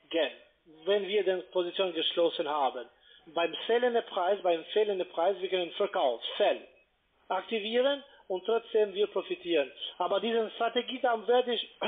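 A man with a foreign accent, speaking non-native German as he gives a telephone sales pitch. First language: not German. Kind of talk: sales pitch on a phone call. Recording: muffled narrow-band phone line.

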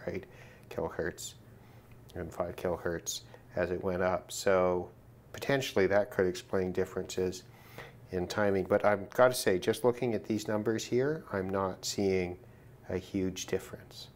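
Guy with a lowish voice doing a casual hands-on demo, kinda thinking out loud, some noise behind him. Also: fairly even intonation. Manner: measured, thinking aloud. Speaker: hobbyist demonstrating a shortwave radio test. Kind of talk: informal hands-on gear demonstration. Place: indoors at a work desk.

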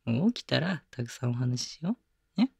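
A man playing a gentle, doting young boyfriend in an ASMR bedtime audio drama, speaking softly and breathily, soothing and coaxing, close to the mic. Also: medium pitch, sing-song, fairly quick, creaky, husky, no room sound, full character voice. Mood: tender, teasing. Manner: soft, soothing, tender, coaxing, often dropping to a whisper. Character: gentle doting young boyfriend lulling his sleepy partner. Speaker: voice actor. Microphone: close binaural microphone.

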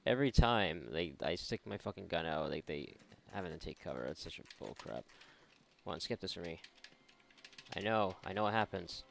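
Low, gravelly-voiced man doing sarcastic game commentary. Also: animated, normal speed, a little hiss or hum. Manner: sarcastic, rambling, profane. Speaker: let's play host. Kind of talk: casual gameplay commentary. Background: video game ambience.

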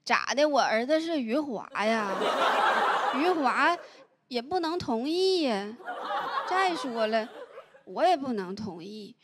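A woman, performing stand-up into a handheld mic on a big indoor stage. Her voice sits high, and she delivers stories about home life deadpan. The crowd's laughter rolls clearly under her punchlines. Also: slow. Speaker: stand-up comedian. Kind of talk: stand-up comedy set. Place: large indoor comedy stage. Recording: live audience laughter noise. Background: audience laughter.

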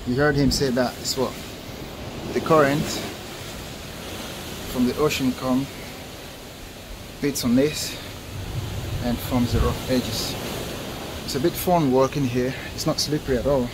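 Man, 30s, relaxed travel vlogger, handheld mic outdoors, noisy. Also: mid-range voice, a little slow, long pauses. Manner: relaxed, curious, chatty. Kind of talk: casual travel vlog narration. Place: outdoor seaside cave and cliffs. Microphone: handheld camera at arm's length. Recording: outdoor noise behind.

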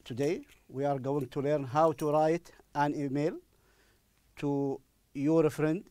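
A middle-aged man with an Arabic accent, a native Arabic speaker, teaching an English lesson deliberately and repeating phrases for emphasis. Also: on the high side, fairly even intonation, mellow, dry recording. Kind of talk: recorded classroom-style lesson. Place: indoor studio in front of a presentation screen. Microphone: lapel microphone.

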